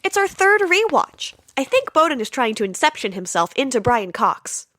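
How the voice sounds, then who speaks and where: Skeptical spy, high voice, wry, swoopy, dry close sound. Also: female voice, sing-song, normal speed, a bit bright, dry recording. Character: skeptical, quick-witted female agent. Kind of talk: audio drama comedy acting.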